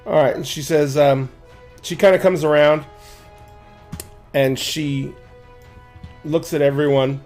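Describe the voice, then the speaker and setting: Gravelly-voiced man running a tabletop game. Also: on the high side, fairly even intonation, slow, long pauses, darkish tone, faint music behind. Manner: casual, hesitant with many fillers. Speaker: game master.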